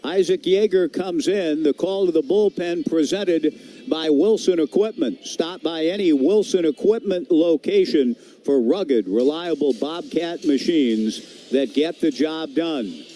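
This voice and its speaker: A man's voice: on the high side, unhurried, mellow, soft background music. An American man calling a live baseball game as a radio play-by-play announcer, with ballpark ambience around him. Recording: live broadcast with clear background noise.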